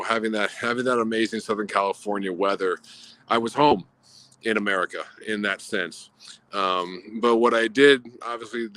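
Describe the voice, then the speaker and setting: A man from Guatemala telling a personal story in an earnest, reflective way, pausing often with fillers over a video call. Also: on the low side, sharp tone.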